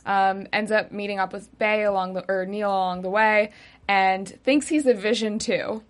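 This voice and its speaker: A young woman hosting a casual TV recap show, walking through the plot with frequent pauses and easy laughter. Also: medium pitch, fairly even intonation. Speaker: host.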